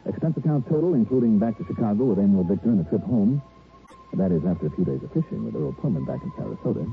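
A man's voice: on the low side, big pitch swings, quick pace. An actor playing a wry, unruffled investigator who narrates his case conversationally in an old radio detective drama. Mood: wry, easy. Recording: tinny old radio broadcast with music.

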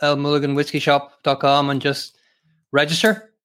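A man hosting an interview over a video call, measured and formal with many hesitations. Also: a little high, level tone, fairly bright tone. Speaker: host.

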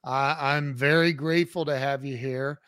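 A man hosting his own interview show, speaking haltingly and repeating words as he starts his sentences. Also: mid-range voice, fairly even intonation, a bit bright, clean tone, dry recording, studio quality. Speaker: podcast host. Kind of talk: interview chat.

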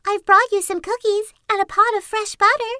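Innocent little storybook girl, polite, extremely high voice. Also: steady tone, moderate pace, bright, forceful tone, no room sound, clean studio sound. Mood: innocent, cheerful. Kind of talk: storybook character voice.

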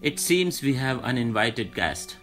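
A mid-pitched man in charge played by an actor, speaking in a businesslike, authoritative way. It is audio drama dialogue with faint music behind it. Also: very animated, unhurried, fairly bright tone. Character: businesslike male boss in charge of an island team.

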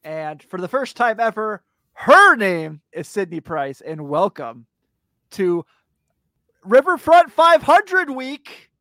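A man hosting a casual sports podcast, hyped and exclamatory, with a raspy voice today. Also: high-pitched, very animated, bright, forceful tone, no echo. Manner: hyped, exclamatory, drawn-out hype words. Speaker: podcast host.

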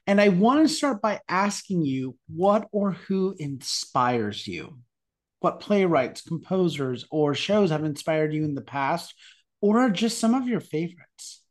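A woman's voice: fairly low pitch, big pitch swings, a little slow, a little mellow. A woman hosting a theater podcast interview, asking questions and praising her guest's answers effusively. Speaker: podcast host.

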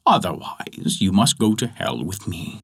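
A man voicing a sly, menacing male devil in a storybook reading, low and drawn out. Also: big pitch swings, measured pace, creaky, fairly bright tone, slightly rough, heavily acted.

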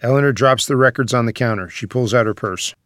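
A man's voice: medium pitch, fast, fairly bright tone, dry recording, clean studio sound. A man narrating the stage directions of a screenplay at a table read.

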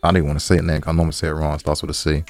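A man's voice: very deep voice, little pitch movement, racing, no echo, faint music behind. A man co-hosting a podcast in casual, slangy banter, joking and swearing freely. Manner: slangy, joking, profane, laughs often. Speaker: podcast co-host.

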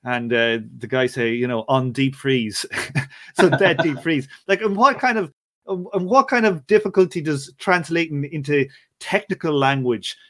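A man's voice: a little high, moderate pace, fairly bright tone. A man with an Irish accent hosting an interview, asking rambling, chatty questions. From Ireland. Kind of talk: casual interview.

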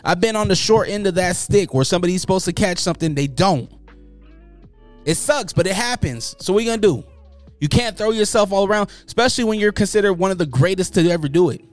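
Animated slangy man ranting. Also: high voice, fast, faint music behind. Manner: animated, joking, slangy, mocking voices in quotes. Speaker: commentary host. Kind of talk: casual news commentary.

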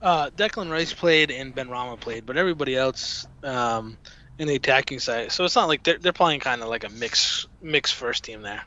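Bright-toned man rambling on football. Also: medium pitch, normal speed, muffled recording. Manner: rambling, hesitant, thinks aloud with fillers. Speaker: podcast co-host. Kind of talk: casual football chat.

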